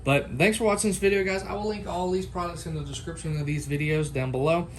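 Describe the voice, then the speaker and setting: A man's voice: fairly high pitch, fairly even intonation, fast talker, slight background noise. A man talking informally and off the cuff, like a product reviewer chatting to viewers in a homemade video. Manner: informal, folksy, unscripted. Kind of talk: casual unboxing and comparison vlog.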